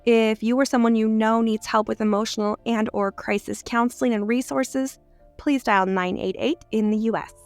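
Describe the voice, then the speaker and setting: A woman co-hosting a podcast in bubbly casual conversation, her voice slightly hoarse from illness. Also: fairly high pitch, steady tone, normal speed, no room sound, faint music behind. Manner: bubbly, enthusiastic storyteller. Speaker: podcast co-host. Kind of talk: casual chat.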